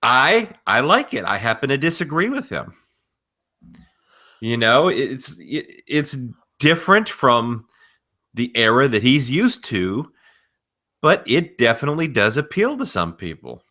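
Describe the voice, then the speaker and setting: A man co-hosting a podcast, giving opinions in casual chat with measured, emphatic repetition. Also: medium pitch, big pitch swings, sharp tone. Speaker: podcast co-host.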